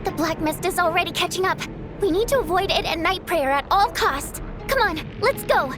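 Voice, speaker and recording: A woman voicing a chirpy, upbeat female guardian spirit who gives quick, urgent instructions in a game. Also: very high voice. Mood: perky. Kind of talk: game voice acting. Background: game music.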